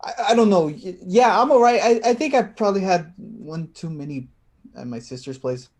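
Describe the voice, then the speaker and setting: A man's voice: sits high, animated, a little mellow. A man acting in a play reading as an anxious, tender, slightly tipsy man who stammers and hesitates. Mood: nervous. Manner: halting, stammering.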